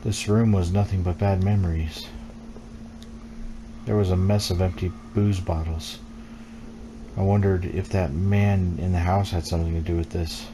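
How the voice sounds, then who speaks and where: A man's voice: sits low, flat delivery, normal speed, long pauses. A man reading the text of a horror game aloud as scripted storytelling while streaming it. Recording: clear background noise.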